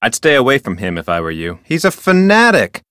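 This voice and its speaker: A man's voice: mid-range voice, huge pitch swings, brisk, fairly bright tone, smooth, dry recording, studio quality. A man playing an officious, rule-bound park ranger in an adventure game, with a formal, wordy manner. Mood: disapproving. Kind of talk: scripted adventure game dialogue.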